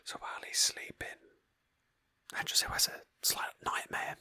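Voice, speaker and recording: A woman narrating a roleplay game playthrough in a breathy ASMR whisper, slowly and with pauses. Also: sharp tone.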